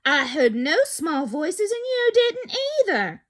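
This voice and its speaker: Casting: big sour kangaroo, played by a woman reader, high and swooping, snappish storybook scold. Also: big pitch swings, slow pace, a bit bright, full character voice. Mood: scornful. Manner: snappish, dismissive.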